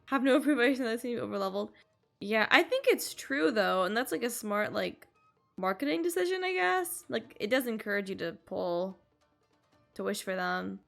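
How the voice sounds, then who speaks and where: A woman's voice: a little high, animated, moderate pace, vocal fry. A woman giving upbeat, chatty, casual commentary as she streams a game. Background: faint game music.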